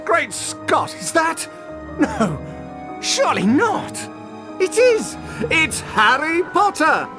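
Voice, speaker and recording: A man's voice: extremely high pitch, huge pitch swings, slow, over music, full character voice. A man voicing a vain, flamboyant celebrity wizard in a video game, theatrical and self-important. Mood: showy.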